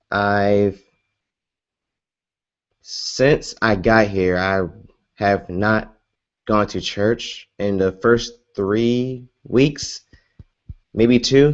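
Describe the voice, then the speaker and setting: Man, deep low voice, young adult, from the US, casual rambling vlog chat, muffled lo-fi audio. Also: drawn-out words, long pauses. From United States. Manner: rambling, many fillers and restarts, laughs often. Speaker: vlogger.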